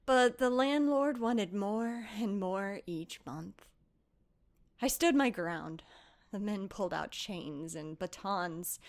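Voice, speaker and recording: A woman playing an earnest, haunted young female blacksmith in tabletop roleplay, telling her story in measured, literary phrases with dramatic pauses. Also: medium pitch.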